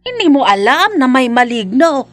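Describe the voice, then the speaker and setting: A woman's voice: high voice, big pitch swings, moderate pace, no room sound. Village mother, radio drama, worried, protective, earnest, faint music behind. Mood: urgent. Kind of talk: Filipino radio drama acting.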